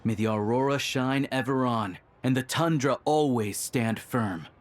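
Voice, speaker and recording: A man playing a formal, solemn spokesman of a secret order, delivering a measured proclamation in a video game. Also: medium pitch, a little hiss or hum, close to an everyday voice.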